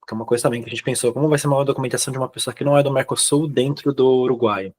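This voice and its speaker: A man's voice: mid-range voice, steady tone, very fast. A Brazilian man speaking Portuguese with a Brazilian accent, casually recounting personal experience as a guest in a conversation. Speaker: guest, immigrant to Uruguay.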